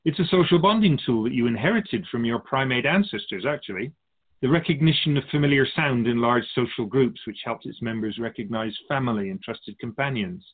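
Through a thin, phone-like band comes a man acting as a wise spirit from beyond, calm and measured. He speaks philosophically in radio drama dialogue, gently teasing the humans he guides. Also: mid-range voice, close to an everyday voice. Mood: amused, explaining. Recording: muffled phone-like narrow band.

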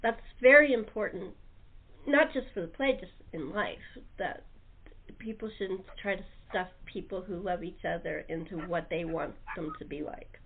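Halting woman host on muffled narrow-band audio. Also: lowish voice, slightly rough. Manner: halting, rambling, often restarting sentences. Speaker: radio show host. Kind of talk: casual chat interview.

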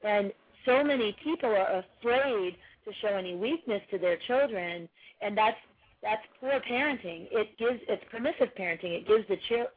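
A loud, husky-voiced woman coaching parents over a tinny phone-like line. She talks in an earnest, advising way, often restarting her sentences. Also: mid-range voice. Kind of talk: casual podcast conversation.

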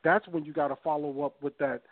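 Man, 30s, podcast co-host, casual rambling chat, slightly raised pitch, tinny phone-quality audio. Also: fairly even intonation, quick pace, dry recording. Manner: loose, rambling, swears freely, restarts often. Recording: tinny phone-line sound.

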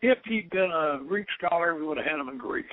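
A man hosting a radio show, explaining things informally in a halting, rambling, scholarly storyteller's way over a tinny, narrow phone-like line. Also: on the high side, expressive. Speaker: host of an occult radio show.

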